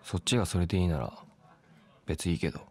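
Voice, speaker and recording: An actor playing a tough, blunt young street fighter in a dramatic video game cutscene. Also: male voice, low-pitched, fast talker, warm tone. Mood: irritated. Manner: rough, blunt, slangy. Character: tough, brusque young male street fighter, hot-headed but caring. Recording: music behind the voice.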